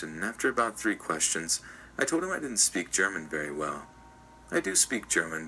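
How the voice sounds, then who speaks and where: A man reads a first-person story with an extremely deep voice. His tone is sharp and bright, and his delivery is even and measured. A faint hiss runs underneath the recording. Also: low-quality recording. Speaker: story narrator.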